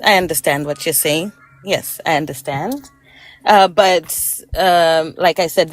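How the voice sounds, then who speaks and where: A woman from Malawi, a guest in a casual live-stream discussion, talking in a hesitant, halting way with many fillers and restarts. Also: low-pitched, no echo.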